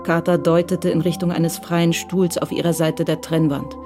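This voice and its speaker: A woman giving scripted, measured narration for a crime radio drama. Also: fairly low pitch, little pitch movement. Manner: measured, composed storytelling. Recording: clear music underneath.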